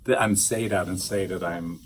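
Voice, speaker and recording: A man with a fairly low voice teaches a small group of therapists in a loose, rambling way. He keeps slipping into acted snippets of quoted conversation. Faint noise sits under the recording. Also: moderate pace. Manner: rambling, animated, acts out quoted dialogue. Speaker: couples therapist trainer.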